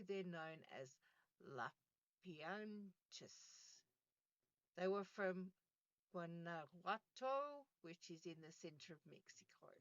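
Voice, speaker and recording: A woman's voice: lowish voice, no room sound, highs cut off. A woman hosting a true crime podcast, reading from a script and often stumbling and correcting herself. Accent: Australian. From Australia. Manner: reads from a script with frequent restarts and self-corrections. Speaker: podcast host.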